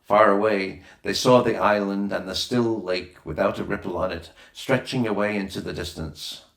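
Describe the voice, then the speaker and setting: Low-voiced man reading in a roomy space. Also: fairly even intonation, moderate pace, a bit bright. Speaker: audiobook reader. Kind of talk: scripted narration of a children's adventure novel. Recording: roomy echo on the voice.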